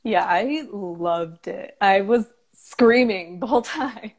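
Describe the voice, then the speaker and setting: A woman's voice: medium pitch, lively intonation, takes it slowly. A young woman hosting a casual conversation, enthusiastic and chatty as she talks and asks questions. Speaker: host.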